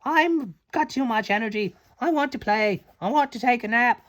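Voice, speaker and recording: A man's voice: very high voice, moderate pace, fairly bright tone, voice pushed up. A man voicing whiny, demanding little baby frogs for a storybook read aloud. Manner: whiny, rattling off wants.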